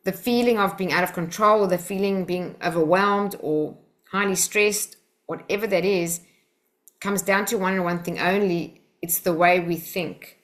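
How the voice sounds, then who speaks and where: A South African woman leads an online class over a video call. Her voice sits a little low, and she talks in a direct, encouraging, chatty way, guiding listeners step by step. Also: steady tone, normal speed. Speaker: Silva Method instructor.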